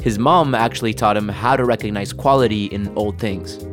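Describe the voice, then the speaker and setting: A man's voice: fairly low pitch, normal speed, soft background music. A man narrating a podcast story in a wry, upbeat way.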